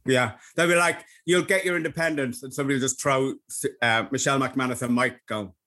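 A man's voice: medium pitch, steady tone, a little fast, sharp tone. A young Irish man co-hosting a casual podcast, wry and teasing. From Ireland. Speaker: podcast co-host. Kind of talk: casual chat.

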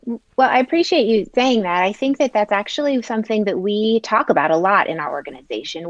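A woman in her thirties, a nonprofit leader, answering interview questions in an articulate, measured way. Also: on the low side, no echo, lo-fi sound. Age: 30s.

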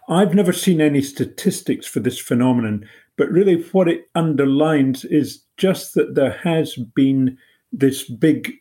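A man in his forties from Britain with a mellow, relaxed tone, talking through his answers in a measured, explanatory way. His pitch sits in an ordinary middle range, and he sounds like a journalist guest on an interview show. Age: 40s.

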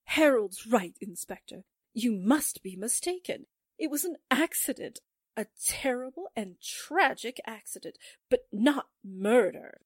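An actress performs a disbelieving, distraught widow from a 1940s radio drama. Her voice is raised and husky. It is recorded with little room sound. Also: a little high, lively intonation, a little slow, no room sound, studio quality. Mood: distressed denial.

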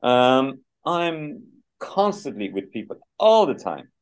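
A man's voice: medium pitch, big pitch swings, takes it slowly, clean tone. A man from Dublin with a Dublin Irish accent, chatting as a guest in a casual interview, rambling with many restarts and "you know"s. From Dublin, Ireland.

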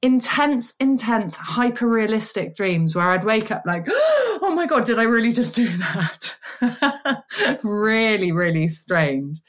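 Lively middle-aged woman guest. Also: on the high side, slow pace. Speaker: podcast guest. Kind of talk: casual chat over video call.

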